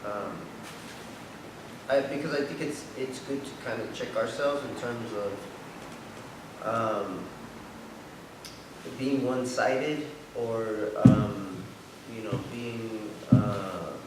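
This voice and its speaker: A man's voice: fairly low pitch, takes it slowly, pauses often. A man from a theater project putting questions to an audience, hesitant and searching for words. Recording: echoey hall, distant mic, noisy.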